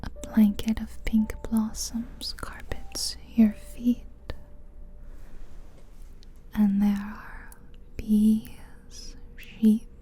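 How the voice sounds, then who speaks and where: Slow whispering woman, guided relaxation. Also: medium pitch, flat delivery, warm tone. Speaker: ASMR host. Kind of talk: ASMR guided visualization. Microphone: close mic, ear to ear.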